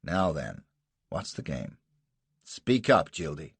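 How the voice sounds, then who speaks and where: Brief: man playing a roaring, scornful American colonel, deep husky voice, lively swings. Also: takes it slowly, no echo, exaggerated voice. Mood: blustering. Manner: barking, scornful, booming outbursts. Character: gruff brawling American colonel, blustering.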